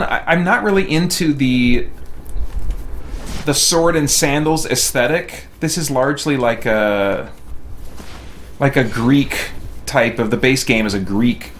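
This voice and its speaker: A man's voice: mid-range voice, a bit bright. A man chatting casually as he hosts a game livestream, rambling and thinking aloud. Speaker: game streamer and reviewer, host of the stream. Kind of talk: casual livestream chat while playing a game. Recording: clear background noise.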